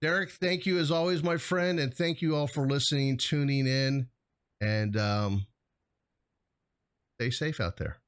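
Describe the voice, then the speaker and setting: A man's voice: mid-range voice, a little slow, pauses often, clean tone. A middle-aged man hosting a show in casual chat, rambling a little with a jokey, hesitant delivery. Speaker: show host.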